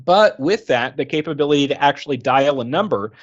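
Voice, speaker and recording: A man's voice: fairly high pitch, lively intonation, a little fast, lo-fi sound. A man working as a product trainer, explaining things informally and conversationally with everyday examples.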